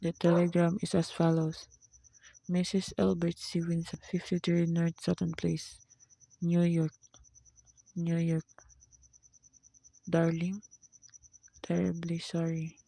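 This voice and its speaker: A woman's voice: on the low side, completely flat delivery, takes it slowly, creaky, long pauses, warm tone, dry recording, heavily acted, low-quality recording. A woman playing a formal, impassive telegraph clerk in a radio play, reciting in a detached way.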